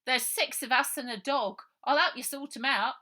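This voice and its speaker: A woman's voice: high voice, moderate pace, bright, forceful tone, pitched above the performer's own voice. A woman voicing a plucky, bossy street-wise girl who leads a storybook gang with colloquial, commanding talk. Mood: confident.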